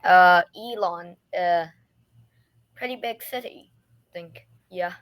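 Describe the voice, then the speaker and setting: A speaker from Taiwan practicing English on a video call, hesitant and full of fillers. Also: male voice, sits high, slow, a bit bright. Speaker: English learner. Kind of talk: casual conversation.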